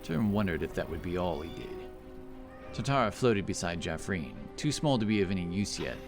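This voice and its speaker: A man's voice: deep voice, sing-song, a little fast, over music. A man narrating a dramatic fantasy audio story from a script.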